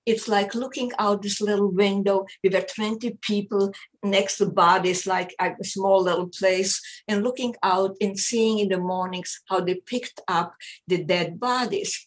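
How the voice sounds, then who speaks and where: An older woman whose first language is German, telling her life story in an interview, speaking haltingly and repeating words as she searches. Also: medium pitch, low-quality recording. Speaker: interview guest, psychologist. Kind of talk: personal testimony in an interview.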